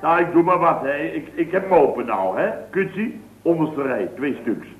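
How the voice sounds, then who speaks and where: A man's voice: fairly high pitch, lively intonation, moderate pace, lightly acted. A man playing a blustering, self-pitying boss in an old radio comedy, fussy, repetitive and stumbling over his sentences. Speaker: actor in a radio comedy serial. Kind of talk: 1970s radio comedy acting. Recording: echoey, tinny old radio recording.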